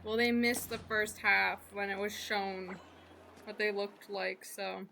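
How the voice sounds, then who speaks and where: A woman's voice: mid-range voice, steady tone, slow, creaky, sharp tone. A woman streamer chatting casually over video game play. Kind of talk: casual chat over gameplay. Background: game music.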